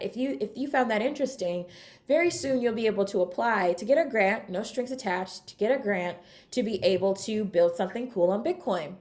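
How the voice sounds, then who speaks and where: Woman, keynote speaker; chatty, energetic, mid-pitched, talks straight to the crowd. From California, United States. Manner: chatty, upbeat, addresses audience with shout-outs. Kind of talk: energetic conference talk. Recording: online talk.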